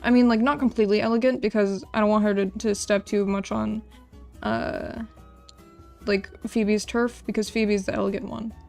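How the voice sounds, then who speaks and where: Creaky-voiced woman chatting on a casual stream. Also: medium pitch, little pitch movement, moderate pace, a little mellow, soft background music. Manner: informal, rambling, repeats words and phrases. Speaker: livestreamer drawing and designing game characters. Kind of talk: casual livestream chat while drawing, reading out chat messages.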